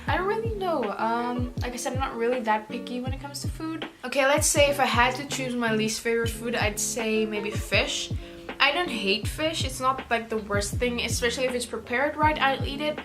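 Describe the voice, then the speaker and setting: A woman's voice: high voice, moderate pace, music in the background. A teenage South African girl, an Afrikaans speaker, answering viewer questions in a chatty, rambling way with lots of "like". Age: teens. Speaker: YouTuber. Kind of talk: casual Q&A vlog.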